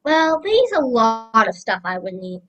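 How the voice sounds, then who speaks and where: A woman's voice: on the high side, very animated, moderate pace, dull audio. A young female student playing a snarky, easily grossed-out older sister in a children's radio play. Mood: puzzled.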